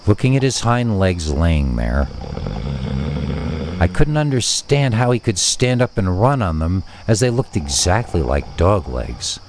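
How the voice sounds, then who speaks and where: A man's voice: deep voice, sing-song, unhurried, background noise. A man reading a scripted first-person scary story as a narrator.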